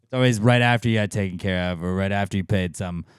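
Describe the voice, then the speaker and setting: A man's voice: low voice, fast talker, smooth, no echo, clean studio sound. A man co-hosting a podcast in casual comedic chat, joking and reading out listener submissions. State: voice recently shot from shouting. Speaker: podcast co-host.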